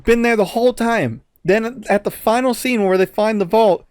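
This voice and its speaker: A man's voice: high voice, moderate pace, darkish tone, dry recording, studio quality. A man co-hosting a podcast, giving a casual, exasperated and profanity-laced movie review. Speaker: podcast co-host.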